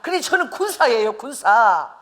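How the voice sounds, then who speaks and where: A woman pastor from Busan preaching, telling personal stories in an animated way and laughing often. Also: fairly high pitch, normal speed. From Busan, South Korea. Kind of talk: sermon.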